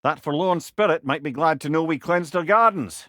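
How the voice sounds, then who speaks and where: An actor playing a wise, wry old Scottish storyteller and companion in a video game, speaking eloquently with a lilt. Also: male voice, a little high, moderate pace, sharp tone, no echo, clean studio sound. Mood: earnest, informative. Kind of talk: video game character dialogue.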